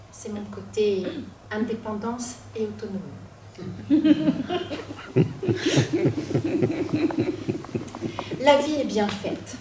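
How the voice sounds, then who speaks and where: A young woman from Corsica tells her own life story, slow and deliberate, with dramatic pauses and wry asides. Her voice sits a little high, and it is picked up from some way off in an echoey room with a faint hum of ambience behind her. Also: darkish tone, distant mic. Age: 20s. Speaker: student storyteller. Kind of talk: personal storytelling talk.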